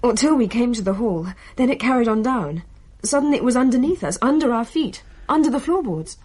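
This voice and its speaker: An actress playing an earnest, excitable British daughter, confiding family events conversationally in a radio play. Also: female voice, fairly high pitch, a little fast, slightly rough. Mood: uneasy recounting. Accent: British English. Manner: chatty, confiding. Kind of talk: conversational radio drama narration.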